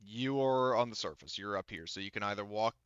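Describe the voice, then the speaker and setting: A man running a tabletop game as game master, narrating casually in a halting, rambling way full of filler phrases. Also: on the low side, a bit bright, clean tone, no echo, highs cut off. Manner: halting, rambling, frequent "like" and "you know"; casual swearing.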